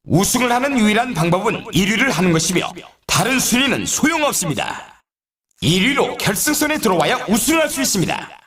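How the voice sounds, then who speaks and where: A man voicing an excitable snowboard race announcer in a video game, with polished, showy, emphatic commentary. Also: high voice, a little fast. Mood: emphatic, announcing.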